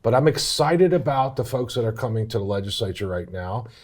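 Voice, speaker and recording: A man's voice: mid-range voice, lively intonation, fairly quick, a little mellow. A man speaking as an interview guest in a casual, emphatic way, often repeating his phrases. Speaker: interview guest, business PAC chair.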